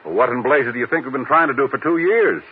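An actor playing an exasperated, skeptical intelligence chief with a husky voice in a 1950s radio drama. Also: male voice, mid-range voice, lively intonation, fast, slight background noise, natural voice. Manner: blustery, skeptical. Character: exasperated, gruff male intelligence bureau chief. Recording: lo-fi old radio recording.

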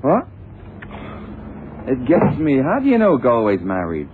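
An actor in a vintage radio drama playing an eager, easygoing detective's sidekick with a colloquial, folksy manner. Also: male voice, mid-range voice, wildly swooping pitch, a little slow. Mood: curious. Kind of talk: 1960s-70s radio drama acting. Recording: tinny, noisy old radio broadcast.

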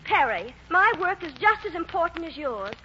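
A high-strung young actress in an old radio drama. Her voice is very high and bright, emotional and emphatic, on a muffled recording. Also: big pitch swings, moderate pace, a little hiss or hum, exaggerated voice. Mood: pleading, defensive. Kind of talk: 1940s radio acting.

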